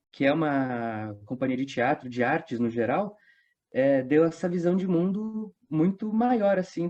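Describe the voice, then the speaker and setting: Man, 20s, São Paulo state accent; higher-pitched, chatty storyteller for casual interview, lo-fi sound. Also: a little mellow. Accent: Brazilian, São Paulo state. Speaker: theatre company actor, interview guest.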